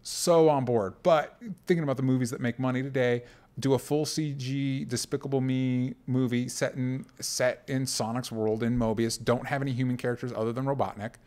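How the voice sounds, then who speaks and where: Guy cohosting a pop culture chat, regular pitch, nerdy and really into it, super casual. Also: steady tone, brisk, a little mellow. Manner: enthusiastic, geeky fan talk. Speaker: talk show co-host.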